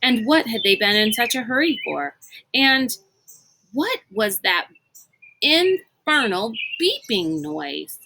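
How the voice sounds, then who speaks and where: Woman reading as a haughty storybook girl guard, suspicious, accusing, sharp bright tone. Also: on the high side, big pitch swings, slow pace, vocal fry, slight background noise, full character voice, poor audio. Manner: snarling, accusing.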